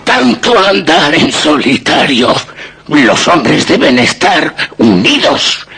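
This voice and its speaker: An actor with a Castilian accent playing a pious, cautious village elder, raspy and solemn, in a radio drama. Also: male voice, on the high side, big pitch swings, bright, forceful tone, soft background music, heavily acted. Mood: sorrowful. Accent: Castilian Spanish. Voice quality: raspy, creaky. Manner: solemn, deliberate. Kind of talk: Spanish radio drama acting.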